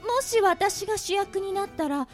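A woman's voice: extremely high pitch, lively intonation, moderate pace, soft background music. A woman playing a vain, coquettish female monster in a radio drama, with affected, flirtatious speech. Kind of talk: anime-like radio drama acting.

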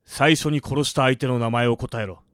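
An actor playing a cold, vengeful older brother who speaks in terse commands in a radio drama. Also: male voice, mid-range voice, fairly even intonation, normal speed, fairly bright tone, natural voice. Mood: cold, commanding.

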